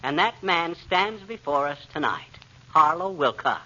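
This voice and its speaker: An actor playing a folksy, boastful, wisecracking husband in excitable 1940s radio comedy style. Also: male voice, fairly high pitch, a little slow. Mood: mock grand. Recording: muffled vintage radio, faint noise.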